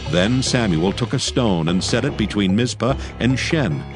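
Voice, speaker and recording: A man narrating scripture from a script in a solemn, measured, formal manner. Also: lowish voice, lively intonation. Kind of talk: scripted dramatized Bible narration. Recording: faint music behind the voice. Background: faint background music.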